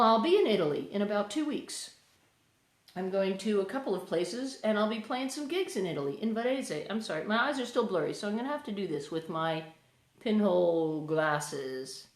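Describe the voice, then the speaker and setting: A woman's voice: a little high, reverberant. An English woman hosting a casual livestream, chatting with viewers, reading out their names and thanking them between songs. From England. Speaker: livestreaming singer-songwriter. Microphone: phone livestream.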